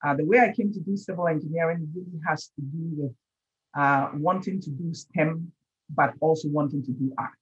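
A man in his forties from Ghana, a professor being interviewed, explaining in a measured way with repeated stumbles on words. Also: on the high side, breathy edge. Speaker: professor, guest. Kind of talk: interview answers. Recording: dull remote call audio.

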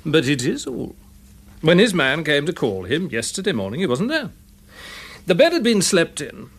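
Earnest English detective, high lively voice. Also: male voice, normal speed, slightly rough, exaggerated voice. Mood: earnest, explaining. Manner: precise, matter-of-fact, explanatory. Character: earnest, methodical police detective, friend of a lord. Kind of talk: period radio drama acting.

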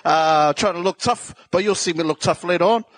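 South auckland kiwi guy doing standup style storytelling, high voice for a man, slangy and jokey, dry close sound. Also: a little fast, fairly bright tone, dry recording. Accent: South Auckland New Zealand. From South Auckland, New Zealand. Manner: joking, self-deprecating, slangy. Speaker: comedian telling his story on stage. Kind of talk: casual comedic live storytelling.

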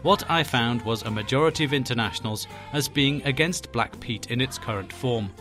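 A man narrating a scripted report in a measured, wry manner. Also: mid-range voice, a bit bright. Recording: faint music underneath.

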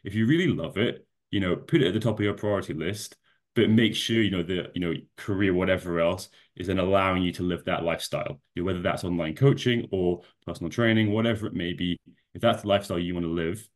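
A man's voice: low voice, fast talker. A man from Scotland, a guest on a podcast, talking casually about competing and leaning heavily on "you know" and restarts. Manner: rambling, many fillers like "you know". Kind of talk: casual conversational interview.

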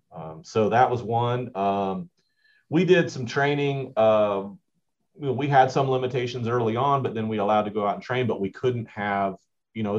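A man, a school basketball coach, answering interview questions in a rambling way with many restarts. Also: lowish voice. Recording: dull lo-fi audio.

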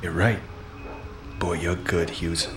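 An actor playing an earnest, bumbling, easily flustered detective's sidekick in a noir radio comedy. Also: male voice, sits low, little pitch movement, slow, faint music behind.

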